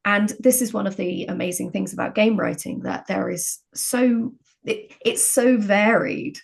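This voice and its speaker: A woman's voice: mid-range voice, unhurried, vocal fry. A woman talking as a podcast guest in a conversational discussion, earnest and working out her thoughts as she goes. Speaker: podcast guest, game writer.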